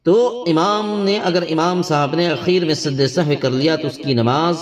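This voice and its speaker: A man's voice: fairly high pitch, moderate pace, recorded from a distance. A man giving a religious lesson as an Islamic teacher, explaining rulings in a didactic way with formal Urdu and Arabic religious terms.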